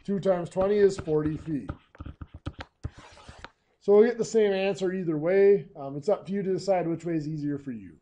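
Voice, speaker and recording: Hoarse male teacher explaining math. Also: high voice, normal speed, long pauses, warm tone, slight background noise. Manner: patient step-by-step explaining. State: hoarse, coughing. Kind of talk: screencast math lesson.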